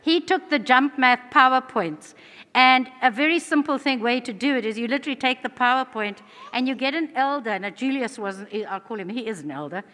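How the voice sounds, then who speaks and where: A woman's voice: high-pitched, brisk, bright, forceful tone. A woman from South Africa with a South African accent, giving an earnest conference presentation in a large hall. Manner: earnest, fluent, with frequent restarts. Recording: long hall reverb.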